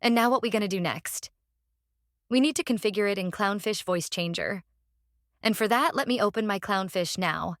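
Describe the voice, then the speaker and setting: A woman with a medium-pitched voice walks through a software setup step by step. Her delivery is casual and instructional, with frequent little check-ins to the listener. The sound is dry and close, with very little room in it. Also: moderate pace, no echo. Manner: instructional, often checking with "right?". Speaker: tutorial host.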